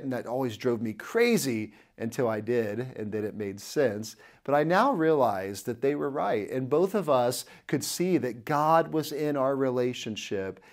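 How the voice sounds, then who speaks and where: A man's voice: medium pitch, a little mellow. Earnest male preacher telling a story. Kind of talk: sermon to camera. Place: indoor studio set. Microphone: lapel.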